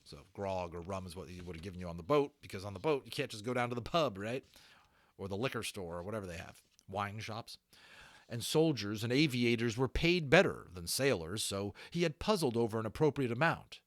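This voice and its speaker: Low-voiced man telling a story. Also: animated, brisk, no echo, studio quality. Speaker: audiobook narrator. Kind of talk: expressive storytelling narration with casual asides.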